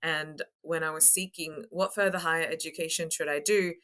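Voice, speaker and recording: A young Australian woman answering interview questions as a guest, articulate and emphatic, with measured phrasing. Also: low-pitched, fairly even intonation, fairly bright tone. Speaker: podcast guest, construction coach. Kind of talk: interview talk.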